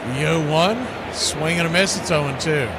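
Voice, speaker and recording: Higher-voiced man calling baseball, very noisy background. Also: normal speed. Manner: conversational, colloquial asides between calls. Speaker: baseball play-by-play announcer. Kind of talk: live sports commentary. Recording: very noisy background, outdoor-like ambience.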